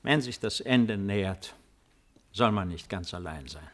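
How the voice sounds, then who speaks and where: A man's voice: fairly low pitch, expressive, unhurried. An older man playing an ailing, lonely old emperor in 1950s German radio drama, speaking in a stately, theatrical manner. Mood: grave, resolute.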